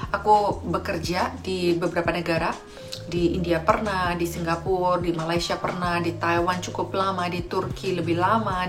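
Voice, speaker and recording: A woman from Indonesia with an Indonesian accent, talking casually to viewers as a vlog host and mixing in English phrases. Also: lowish voice. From Malang, East Java, Indonesia. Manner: addresses viewers directly, mixes in English phrases. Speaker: vlogger and host. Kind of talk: casual vlog talk to camera.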